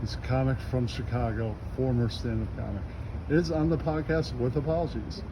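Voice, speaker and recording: A man works as a street interviewer, firing off blunt, abrupt questions in an informal way. His voice sits at a middling pitch, and clear traffic noise from the street runs behind him. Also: normal speed. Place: outdoors on a street. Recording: noisy outdoor street with traffic.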